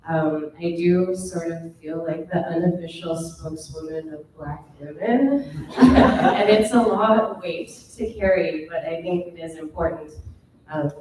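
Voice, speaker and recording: A woman's voice: sits low, heavy background noise. A woman dancer from Brooklyn, New York, introducing herself on a panel in a candid, wry way. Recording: echoey room from far off.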